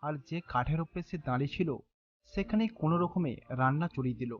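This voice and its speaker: A man's voice: a little high, quick pace, dull audio. A man narrating a scripted children's bedtime story.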